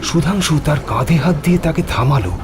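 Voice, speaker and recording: A man's voice: fairly high pitch, expressive, a little fast. A man narrating a scripted horror story in a dramatic, suspenseful way. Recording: music behind the voice.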